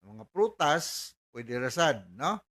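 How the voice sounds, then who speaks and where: Higher-voiced Filipino man, Cebuano accent. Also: big pitch swings, slow, fairly bright tone. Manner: explanatory, emphatic, repeats key words. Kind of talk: informal health advice, mixing Cebuano and English.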